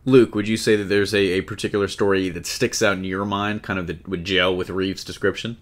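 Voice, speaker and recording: A man's voice: sits low, little pitch movement, a little fast. A man hosting a casual fan discussion of comic book films, often repeating and rephrasing as he goes. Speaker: podcast host.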